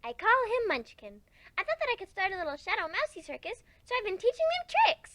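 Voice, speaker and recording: A very high, bubbly pegasus. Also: female voice, wildly swooping pitch, quick pace, fairly bright tone, slight background noise, exaggerated voice. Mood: cheerful, explaining. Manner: perky, playful, cutesy. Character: bubbly, playful, girlish female cartoon pegasus. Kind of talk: fan fiction dramatic reading.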